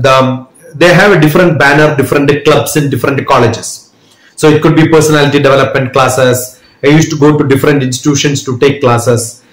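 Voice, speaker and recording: A man answering interview questions at length, measured and reflective, with small restarts. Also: fairly high pitch. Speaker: guest, educator and speaker. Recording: roomy echo.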